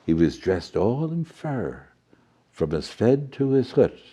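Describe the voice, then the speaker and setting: A man's voice: on the low side, big pitch swings, takes it slowly, mellow, slightly rough, dull audio, exaggerated voice. A man playing a jolly, fussy professor storyteller who reads a children's storybook theatrically, with chatty asides and muddled words. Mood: dramatic, excited.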